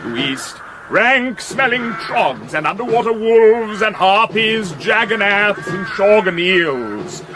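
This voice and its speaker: A high-pitched, swooping pompous prince. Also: male voice, very animated, takes it slowly, hardly any pauses, background noise, full character voice. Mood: grand, rallying. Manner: declamatory, grandiose mock-archaic speech. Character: pompous heroic young crown prince rallying his army. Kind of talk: mock-epic radio comedy acting.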